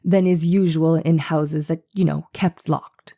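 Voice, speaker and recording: A woman plays a defense attorney in a dramatized trial reading. The voice sits fairly low and is very mellow, with measured, formal questions that keep pressing the witness. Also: steady tone. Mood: measured, probing. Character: probing, persistent male defense attorney cross-examining a witness. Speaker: actor reading a lawyer in a trial re-enactment.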